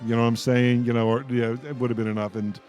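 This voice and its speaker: A man's voice: mid-range voice, little pitch movement, darkish tone. A man with a mid-range voice chats in a casual group discussion. He speaks informally, circling back and restarting as he goes. Faint music sits behind the talk. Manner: halting, repeats and restarts mid-thought. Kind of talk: casual roundtable fan discussion.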